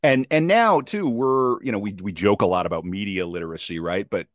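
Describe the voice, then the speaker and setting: A man with a very low voice talks as a guest in a casual interview. The sound is dry, with little room. He explains his craft emphatically, repeating phrases to drive a point home. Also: expressive, a little fast, no room sound. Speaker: podcast guest, playwright. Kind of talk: animated casual interview talk about craft.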